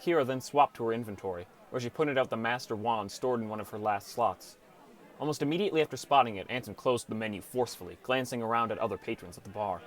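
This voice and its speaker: A man narrates a story in the first person. His voice has an average pitch, and he reads as a scripted audiobook narrator. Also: quick pace.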